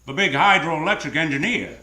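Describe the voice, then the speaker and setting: Casting: male actor as cool hard-boiled gumshoe for old-time radio, dry wit, deadpan delivery, live roomy stage sound. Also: fairly high pitch, a little fast. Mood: narrating, wry. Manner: deadpan, sardonic. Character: hard-boiled wisecracking private detective, cool and sardonic. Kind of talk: live 1940s-style radio drama acting and narration. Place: theater stage.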